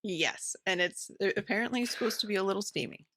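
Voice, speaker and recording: A woman's voice: mid-range voice, fast, bright, forceful tone, dry recording. A woman co-hosting a podcast, talking casually and with enthusiasm about what she reads. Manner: informal, enthusiastic. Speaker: podcast co-host. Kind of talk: casual book-club chat.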